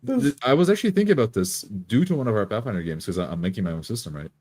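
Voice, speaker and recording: A man's voice: lowish voice, lively intonation, very fast, darkish tone. A man who plays tabletop games, casually explaining his thoughts with examples and checking in as he goes. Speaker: tabletop player and game designer. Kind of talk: casual explanatory chat about roleplaying games.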